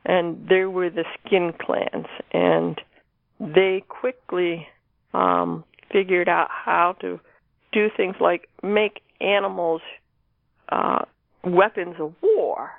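A woman's voice: lowish voice, little pitch movement, slow pace, vocal fry, no room sound. A woman author being interviewed, talking casually about her own work. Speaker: guest author discussing own novel. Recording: narrow phone-like line.

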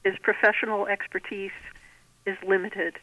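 Female author as interview guest over a telephone: band-limited, dry sound, measured explanatory delivery. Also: medium pitch, flat delivery, no echo, phone-quality sound. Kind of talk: conversational interview about own writing.

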